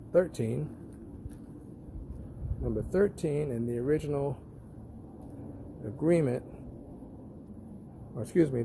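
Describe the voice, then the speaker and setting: Guy doing a casual walkthrough, creaky low-key voice, explains stuff plainly and repeats himself, bit of street noise behind. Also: warm tone. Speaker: tenant recording a walkthrough. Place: walking around an apartment building parking lot.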